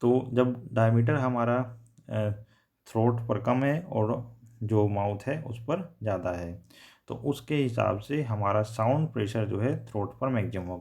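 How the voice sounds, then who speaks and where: A man's voice: on the low side, creaky, a little mellow. A man teaching a technical lesson, repeating and rephrasing his points and mixing in English terms. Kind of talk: explanatory technical lecture.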